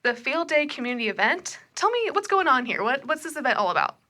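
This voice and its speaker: A woman with a slightly high, very bright voice co-hosts an interview podcast. She talks conversationally, as a host chatting with guests. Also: big pitch swings, fast talker. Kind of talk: friendly podcast interview chat.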